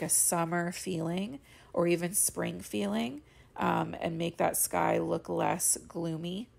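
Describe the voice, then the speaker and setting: A young woman talks through her craft tutorial in a loose, rambling voiceover. Her voice sits low and carries a heavy gravelly fry. She sounds stuffy and congested, with a slight crack at times. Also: a little mellow. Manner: chatty, rambling with fillers. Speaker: card-making channel host. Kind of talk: casual voiceover of a coloring tutorial. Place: home studio voiceover.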